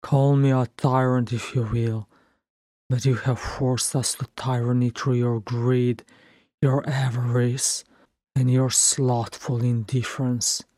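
A weary old king of a storybook realm, acted by a narrator, stern and commanding. He delivers formal, grave speech slowly and deliberately. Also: mid-range voice, flat delivery, warm tone, no room sound, natural voice. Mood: grave, resolute.

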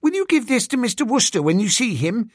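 A man's voice: very high-pitched, big pitch swings, a little fast, no echo, clean studio sound, voice pushed up, exaggerated voice. A man voicing a mischievous young schoolgirl in an audiobook, gushing and slangy. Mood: excited.

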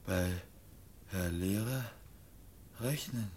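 An actor playing a frightened, childlike foundling youth in a German radio play of the 1950s, speaking in halting, broken phrases. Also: male voice, darkish tone. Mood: timid, defensive. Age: young adult. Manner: halting, broken simple sentences. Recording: noisy old 1950 radio recording, rumble.

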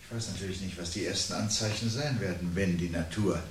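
An actor playing a brooding, articulate man who calmly awaits the world's end in a radio play, speaking in a measured, formal, sardonic way. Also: sits low, natural voice. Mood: resigned, brooding. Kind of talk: 1970s German radio play acting. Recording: 1970s radio production with audible background noise.

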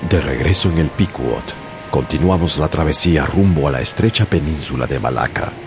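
Deep gravelly man, measured storytelling narration, music underneath. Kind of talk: scripted radio narration.

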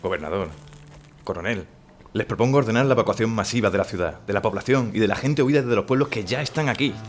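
A man voicing a methodical police commissioner, lower than his own voice. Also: fast talker, soft background music, natural voice. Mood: measured.

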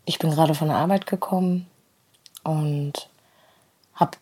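A woman in her thirties recording a quiet, partly whispered, casual audio diary. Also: low voice, fairly even intonation, measured pace, slightly rough. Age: 30s. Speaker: participant trying a self-help book.

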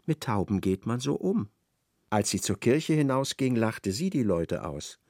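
A man playing a wry literary narrator in a radio drama, expressive and ironic as he slips into a character's thoughts. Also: lowish voice, measured pace, no room sound, clean studio sound, exaggerated voice.

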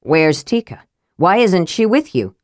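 Stern half-elf leader, male, fantasy storybook, read by a woman. Low voice, troubled, serious. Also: very animated, a little slow, a little mellow, no room sound, studio quality. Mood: stern, grim. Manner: serious, questioning.

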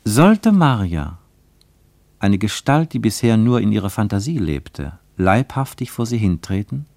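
A man acting as the grave, reflective narrator of a literary radio play, with deliberate dramatic phrasing. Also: fairly low pitch, sing-song, vocal fry, darkish tone, dry recording, exaggerated voice. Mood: grave, suspenseful.

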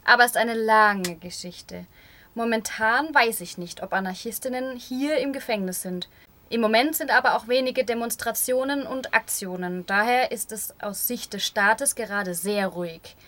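A woman's voice: a little high, moderate pace, bright, forceful tone. A woman speaking as an activist interviewee, giving explanatory answers in an interview.

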